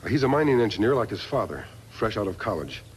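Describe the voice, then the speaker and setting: A noble masked cowboy hero with a fairly low, measured voice. He speaks earnestly and with authority, as in 1950s radio westerns, over faint recording noise. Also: big pitch swings. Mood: reading aloud.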